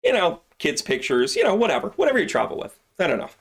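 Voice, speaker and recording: A man's voice: high voice, wildly swooping pitch, fast, slightly rough. A middle-aged man hosting a live stream from his shop, showing off products in a casual, joking, rambling way. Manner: joking, rambling, salesy asides.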